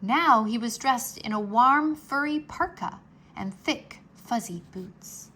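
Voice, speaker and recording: Female read-aloud narrator for a children's chapter book, fairly high-pitched voice. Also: lively intonation, slow, pushed and bright. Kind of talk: scripted children's story narration.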